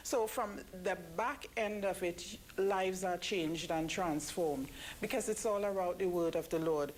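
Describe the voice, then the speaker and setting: A woman giving measured, explanatory answers as an interview guest. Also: on the low side, darkish tone. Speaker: interview guest, event committee member. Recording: clearly noisy background ambience.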